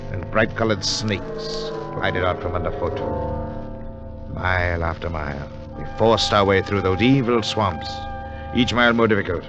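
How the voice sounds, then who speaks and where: An actor playing a composed, resolute explorer who narrates a 1940s radio adventure in a measured dramatic way. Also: male voice, fairly low pitch, lightly acted. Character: composed, resolute male expedition leader recounting the adventure. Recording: dull old 1940s radio recording.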